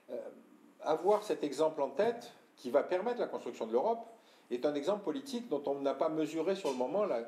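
An older man from France giving a talk to an audience, explaining and addressing listeners directly, often clearing his throat. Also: medium pitch, mellow. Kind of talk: lecture with audience questions. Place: room with audience. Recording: echoey room.

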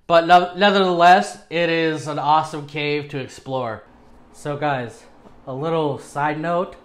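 Higher-pitched man presenting casually to camera. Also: a little slow. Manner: self-deprecating, informal. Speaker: presenter of dive videos. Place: small home studio with acoustic foam, indoors.

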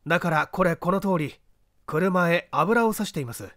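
A man's voice: high voice, animated, moderate pace, fairly bright tone, no room sound, studio quality. A man voicing a coolly confident male detective in an audiobook, politely commanding and explaining. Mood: assured. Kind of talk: audiobook character dialogue.

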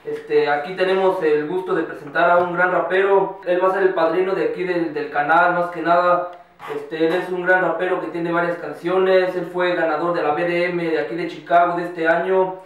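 Young guy host, kinda high voice, lots of "este", echoey room far off mic. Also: little pitch movement, normal speed, highs cut off. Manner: friendly, hesitant with many fillers. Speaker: interview host. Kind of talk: casual interview hosting. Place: small home recording studio. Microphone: far camera mic.

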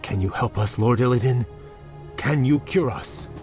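An actor playing a noble young elven prince in a fantasy game, speaking in a formal, earnest, respectful way. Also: male voice, mid-range voice, normal speed, darkish tone, faint music behind, close to an everyday voice. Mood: pleading. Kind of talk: dramatic fantasy game cutscene acting.

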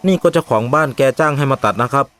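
A man voicing a respectful, sensible young village man in an animated ghost story, speaking politely and earnestly. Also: fairly high pitch, racing, clear, resonant tone, soft background music, lightly acted. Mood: questioning, respectful.

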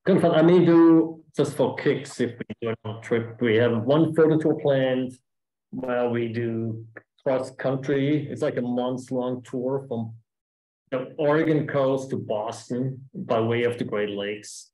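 A man's voice: mid-range voice, unhurried, warm tone. Guest speaker: man, German accent, easygoing and rambling, roomy echo on a video call. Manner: chatty, rambling with restarts. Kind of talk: casual talk answering questions.

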